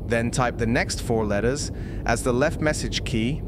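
A man giving scripted, measured, step-by-step instructions as a tutorial narrator. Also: medium pitch, noisy background.

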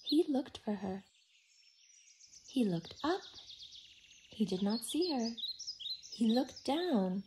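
A woman reading a picture book aloud expressively to young children, in simple, deliberate phrases. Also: fairly high pitch, big pitch swings, noisy background. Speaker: children's storytime reader.

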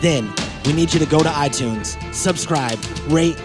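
A man's voice: fairly high pitch, a little slow, over music. A man hosting a podcast in a casual, comedic, joking manner. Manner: profane, joking. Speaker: podcast host.

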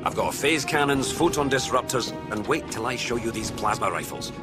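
A man's voice: medium pitch, moderate pace, lightly acted. A man with a Scottish accent playing a chatty, eager starship engineer in a sci-fi game. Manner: chatty, animated. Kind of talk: scripted sci-fi game acting. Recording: very loud music under the voice.

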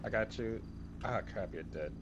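A man's voice: lowish voice, moderate pace, highs cut off. A man talking casually as a player in an online game, over noisy voice chat. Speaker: player in a group gaming session. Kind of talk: casual multiplayer game chatter.

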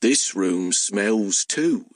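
A male narrator performs a talking hellhound from a fantasy audiobook. He is slow and literal, a hungry, childlike beast, heard in a dry, close recording. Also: on the low side, animated, no room sound, heavily acted. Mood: earnest, simple. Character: male talking hellhound, simple-minded, literal, food-obsessed.

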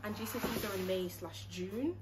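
A woman's voice: mid-range voice, fairly quick. Teenage girl, teasing, chatty, distant mic, echoey room. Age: teenager, sixteen. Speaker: vlogger's daughter. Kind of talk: casual family banter. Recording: distant phone mic in an echoey home with loud noise.

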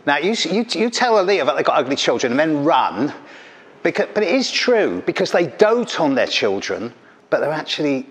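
A man's voice: on the high side, very animated, normal speed. Caustic middle-aged man doing stand-up. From England. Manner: caustic, teasing, punchy delivery. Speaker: stand-up astrologer. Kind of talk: stand-up comedy routine. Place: stage of an event hall. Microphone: handheld mic.

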